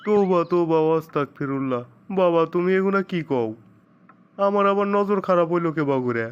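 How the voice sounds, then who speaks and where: A man plays a middle-aged father-in-law in a village comedy cartoon. His fairly high voice has a Sirajganj Bangladeshi accent and a folksy, grumbling delivery. The recording is a little dull. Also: expressive, fairly quick, clear, resonant tone. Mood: offended.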